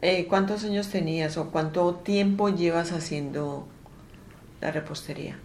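A woman interviewing a friend for an oral history, gentle and hesitant with many fillers. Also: on the low side, unhurried, slight background noise.

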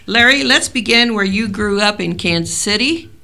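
A woman leads an oral history interview in a bright, forceful voice with a gravelly edge. She reads her introduction formally and asks her questions plainly. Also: mid-range voice, animated, moderate pace. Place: library interview room.

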